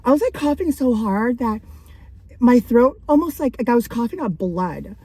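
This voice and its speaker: A woman vlogging casually about her thrift finds, her voice raspy from days of coughing, rambling and laughing between thoughts. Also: a little high, expressive, normal speed, warm tone, dry recording. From Canada. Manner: chatty and rambling, frequent likes and laughs. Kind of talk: casual thrift haul vlog.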